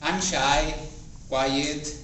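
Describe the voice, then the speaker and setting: A man's voice rings through a very echoey room, picked up from a distance over faint noise. He is a non-native English speaker giving a personal motivational speech. His delivery is halting, with deliberate pauses between phrases. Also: fairly high pitch, steady tone, fairly bright tone. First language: not English. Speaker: club member giving a practice speech. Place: indoor meeting room before a small audience. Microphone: distant camera or room microphone.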